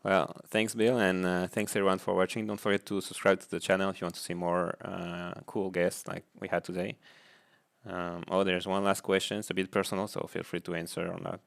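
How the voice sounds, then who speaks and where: Low gravelly guy hosting, flat low-key delivery. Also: fairly quick. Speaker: host. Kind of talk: casual interview chat.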